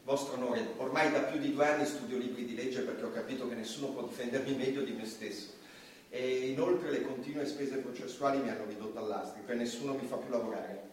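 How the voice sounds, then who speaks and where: A man's voice: medium pitch, quick pace, lightly acted. A man acting a defiant accused comic, very echoey. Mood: frustrated, pleading. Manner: insistent, argumentative, pleading. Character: defiant, beleaguered American comedian defending himself in court. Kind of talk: theatrical courtroom stage acting in Italian. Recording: echoey distant stage, faint noise.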